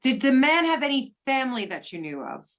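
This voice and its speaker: Female investigator, played by a woman, high-pitched, businesslike questioning, amateur readers theater. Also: sing-song, brisk, fairly bright tone. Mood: inquiring.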